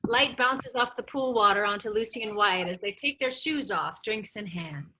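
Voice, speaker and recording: A woman narrates a script's scene directions in a bright, crisp-edged voice at a medium pitch. The delivery is scripted narration for a table read. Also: normal speed, a little hiss or hum, rough recording. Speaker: stage directions reader.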